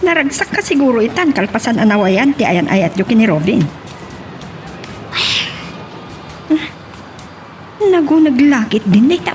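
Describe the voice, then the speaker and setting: A candid young confidante in an Ilocano radio drama. Her high voice moves with lively swings, concerned and a little chiding. Soft music sits underneath.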